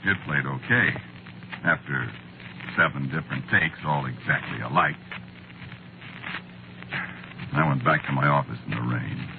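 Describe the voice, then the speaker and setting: Low-voiced, weary, sardonic American scriptwriter. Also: male voice, normal speed, creaky. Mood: exasperated, wry. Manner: wry, world-weary, colloquial. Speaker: narrator and lead actor. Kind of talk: old-time radio horror drama, first-person narration. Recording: muffled, noisy old radio recording.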